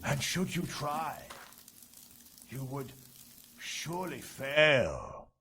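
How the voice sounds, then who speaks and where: An actor playing a vain, pompous prison warden in a video game, declaiming theatrically like an amateur poet. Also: male voice, mid-range voice, very animated, extremely slow, pushed and bright, slight background noise, exaggerated voice. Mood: pompous, irritated.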